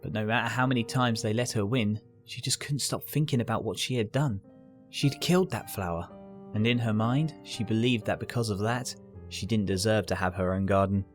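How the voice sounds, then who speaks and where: A man reading a scripted fan fiction story as its narrator, with soft guitar music behind him. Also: on the low side, brisk.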